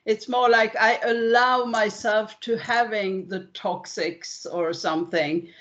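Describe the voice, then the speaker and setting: A woman's voice: medium pitch, steady tone, slow, a bit bright. Woman on dull, lo-fi call audio. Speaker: student in a meditation group. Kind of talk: casual conversation. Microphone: online group call.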